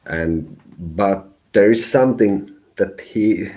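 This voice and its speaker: Slow, deep-voiced Finnish man reading tarot. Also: darkish tone, low-quality recording. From Finland. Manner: halting, searching for words. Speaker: tarot reader. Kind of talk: live-stream tarot reading.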